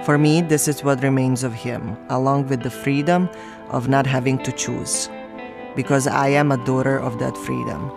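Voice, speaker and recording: A woman with a gravelly, creaky voice narrates in an earnest, reflective way. Loud music plays under her voice-over. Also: extremely low pitch, steady tone, normal speed. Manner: earnest, heartfelt. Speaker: documentary narrator.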